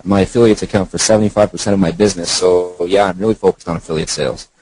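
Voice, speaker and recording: A man's voice: sits low, fairly even intonation, very fast, a little mellow, breathy edge, no echo, low-quality recording. A man speaking as an online marketer and co-founder on a phone conference call, informal and explanatory.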